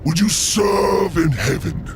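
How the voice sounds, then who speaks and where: Fallen angel lord, gravelly, seductive, very slow, grand, whispers to shouts, game cutscene music. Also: male voice, lively intonation, noisy background, exaggerated voice. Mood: tempting. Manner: swings from hushed whispers to booming shouts. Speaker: game character.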